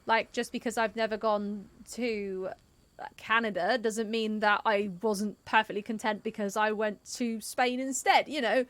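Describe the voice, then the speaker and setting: A young woman live streaming with casual game commentary, musing out loud in a chatty, informal way. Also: medium pitch, fairly even intonation, measured pace, no room sound. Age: young adult.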